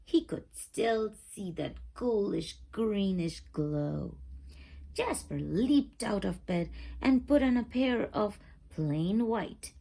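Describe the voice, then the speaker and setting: A woman reads a picture book aloud with lively swings in pitch and an unhurried pace. She is expressive and suspenseful, drawing out key words, with a creaky edge to her voice. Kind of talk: animated children's read-aloud with dramatic whispers and questions.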